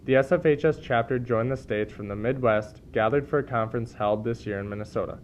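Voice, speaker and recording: A man's voice: medium pitch, a little fast. A young man reading scripted school news as an anchor. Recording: dull sound with clear background noise.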